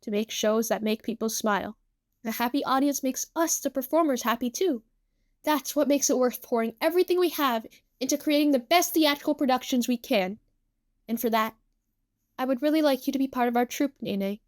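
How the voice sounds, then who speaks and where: A woman's voice: high voice, fairly quick, no echo, clean studio sound, lightly acted. Woman voicing an earnest, dramatic teenage boy. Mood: sincere, determined.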